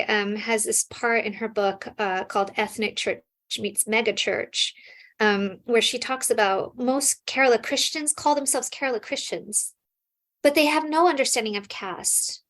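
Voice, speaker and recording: A woman's voice: fairly high pitch, fairly bright tone. A woman from the United States, a scholar guest in an interview, explaining thoughtfully with frequent fillers and restarts. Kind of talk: casual interview answers.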